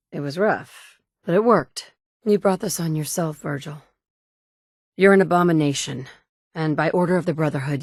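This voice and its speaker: A woman's voice: sits low, a little mellow, no room sound. A woman playing a determined mother turned hardened wasteland survivor, speaking firm, direct lines of game dialogue. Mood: cold. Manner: firm, direct, dry and commanding. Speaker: player character voice. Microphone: studio mic, close.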